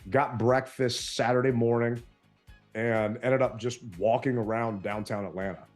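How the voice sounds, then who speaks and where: A man co-hosting a sports podcast, telling stories in an animated, casual way, his voice a bit strained from yelling. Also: medium pitch, measured pace, faint music behind. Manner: animated storytelling. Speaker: podcast co-host.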